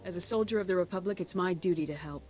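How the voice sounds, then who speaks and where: A woman's voice: fairly low pitch, fast talker, darkish tone, lightly acted. An actress playing a defiant female Republic soldier, firm and resolute, in a sci-fi video game. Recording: faint music behind the voice.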